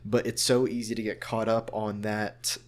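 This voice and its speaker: Low-voiced American man chatting casually. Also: little pitch movement, normal speed. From central Arkansas, United States. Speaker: voice actor being interviewed. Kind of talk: casual interview chat.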